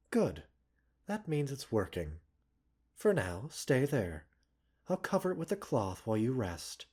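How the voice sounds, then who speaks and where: A man playing a gentle, caring doctor in a roleplay ASMR, speaking softly and breathily with measured, polite, gently chiding formality. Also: medium pitch, exaggerated voice. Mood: soothing. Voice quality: soft, breathy. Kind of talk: roleplay ASMR, scripted character acting.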